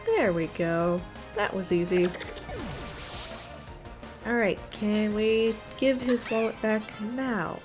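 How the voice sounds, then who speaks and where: A woman's voice: medium pitch, fairly even intonation, slow, pauses often, music in the background. A woman giving casual, wry commentary as she plays a game, thinking aloud. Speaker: gaming video creator.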